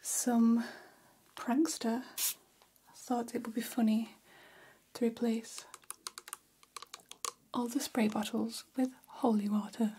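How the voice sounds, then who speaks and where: Casting: gossipy vampire salon stylist woman acting, ASMR roleplay, very breathy whisper, slow and gentle. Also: a little high, flat delivery, heavily acted. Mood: gossipy, confiding. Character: gossipy female vampire hairstylist, attentive and chatty. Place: small decorated room, indoors.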